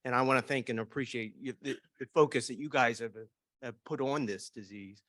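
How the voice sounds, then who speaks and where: A man in the audience puts a question to the panel in a rambling, grateful way. His voice is middle-pitched and sounds dry and close. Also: fairly quick, a bit bright, no room sound. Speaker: audience member asking a question. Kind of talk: question from the floor.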